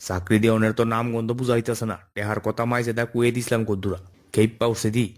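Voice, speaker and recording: A man's voice: fairly low pitch, fairly even intonation, racing, a little mellow, close to an everyday voice. A man voicing a grumbling village job-seeker in a comic cartoon, muttering in an eastern Bengali dialect. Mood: dejected grumbling.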